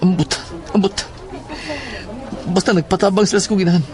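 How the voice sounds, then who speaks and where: Casting: male actor as troubled schoolboy in horror audio drama, high-pitched, Cebuano accent, confiding. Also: fairly even intonation, fairly quick, darkish tone, natural voice.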